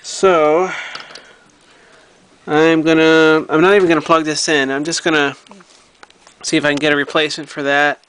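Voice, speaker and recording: A man talking casually and haltingly, thinking aloud as he shows a hobby repair project in a home recording. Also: a little high, flat delivery, a bit bright, clear, resonant tone. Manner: halting, thinking aloud while handling the object. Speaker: hobbyist vlogger showing a radio repair project. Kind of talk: casual unscripted show-and-tell narration. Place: indoors at home.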